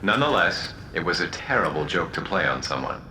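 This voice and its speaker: Mocking prisoner, bright forceful edge, theatrical, condescending, radio drama, faint noise. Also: male voice, low voice, big pitch swings, fairly quick, full character voice. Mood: mocking, playful. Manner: taunting, theatrical, softly condescending. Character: mocking, menacing condemned male prisoner playing head games.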